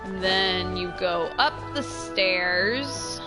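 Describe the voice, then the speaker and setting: A woman giving casual, chatty gameplay commentary as a streamer, expressive and trailing off into asides. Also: lowish voice, drawn-out words, over music. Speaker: game streamer.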